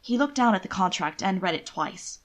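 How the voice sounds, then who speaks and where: A woman narrates a period story in an expressive, theatrical way. Her voice sits at a medium pitch and moves widely up and down as she reads. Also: moderate pace. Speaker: amateur audiobook narrator. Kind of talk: scripted fiction narration, period romance.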